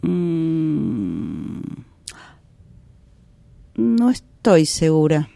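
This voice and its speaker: A woman acting a hesitant, evasive woman in a radio sketch. She drags her words out very slowly, with lively swings of pitch, in a dry, close sound. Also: creaky, no echo, full character voice. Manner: hesitant, drawn-out, noncommittal. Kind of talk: improvised radio theatre exercise.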